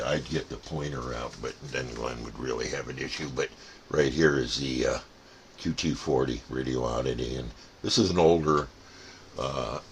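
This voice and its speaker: A man's voice: a bass voice, slight background noise. An older man giving a casual, rambling, folksy explanation as a plain-spoken hobbyist host. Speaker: radio hobbyist and channel host.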